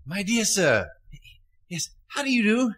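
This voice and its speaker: A man plays an old miser newly giddy with kindness in a radio drama. The voice is high and bright, with halting, exclamatory delivery. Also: very animated, slightly rough, exaggerated voice. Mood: joyful. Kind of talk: radio play acting.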